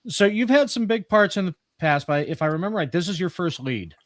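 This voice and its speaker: A man hosting an informal post-show chat, often restarting his words in a halting way. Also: high-pitched, animated, dry recording, studio quality. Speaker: host of a radio play group.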